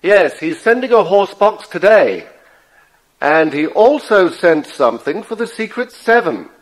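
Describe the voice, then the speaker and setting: A man's voice: sits high, sing-song, a little slow, poor audio. An actor playing a respectable, kindly father in a children's audio drama, speaking courteously as he explains things. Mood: pleased, kindly.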